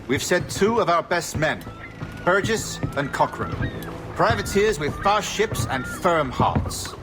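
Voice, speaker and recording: An actor playing a smug, cultivated colonial governor in a video game, with formal, measured period speech. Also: male voice, a little high, lively intonation, over music. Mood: smug, gloating. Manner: formal, measured, self-satisfied. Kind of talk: dramatic period game dialogue.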